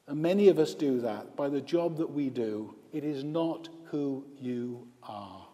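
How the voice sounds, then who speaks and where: A male priest and hospital chaplain preaching a baptism sermon, slow and emphatic, with repeated lists. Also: mid-range voice, warm tone. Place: church with long reverb. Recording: reverberant church hall.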